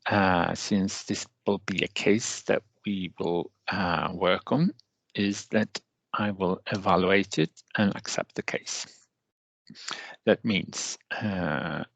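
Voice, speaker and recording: A man narrating a step-by-step software tutorial as a trainer, with halting, deliberate phrasing and hesitant pauses. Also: on the low side, animated, gravelly, no echo, low-quality recording.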